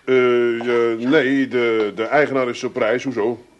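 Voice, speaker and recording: An actor playing a wisecracking, suspicious grown son in a radio play, with an Amsterdam accent. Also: male voice, medium pitch, flat delivery, dull audio, natural voice. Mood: cheeky. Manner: cheeky, quick with a joke, suspicious.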